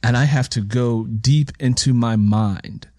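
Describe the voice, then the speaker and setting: A man's voice: medium pitch, a little slow, darkish tone, no echo. Emphatic man reflecting in a close, dry interview. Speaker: interviewer and writer. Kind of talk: reflective conversational interview talk about writing.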